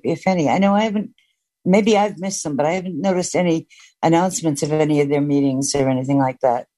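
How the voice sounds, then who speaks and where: A woman caller chats with the hosts over an online call, laughing easily. Her voice sits a little low for a woman. She talks in a relaxed, conversational way. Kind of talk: call-in chat.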